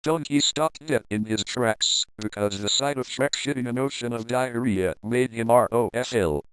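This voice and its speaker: A man's voice: mid-range voice, moderate pace, a bit bright, smooth, no echo, poor audio. A man reading a comic fan fiction story aloud in a playful, crude, dramatic manner.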